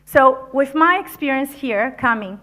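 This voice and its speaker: A woman's voice: sits high, measured pace. A woman who is not a native English speaker, giving an encouraging motivational talk to a conference audience. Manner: energetic, encouraging, addresses audience directly. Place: conference venue.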